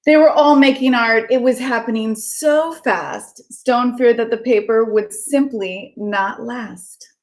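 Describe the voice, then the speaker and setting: A woman children's author chatting casually and reading aloud to children, with an excitable manner. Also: fairly high pitch, slow pace, hardly any pauses. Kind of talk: casual chat and animated read-aloud for children.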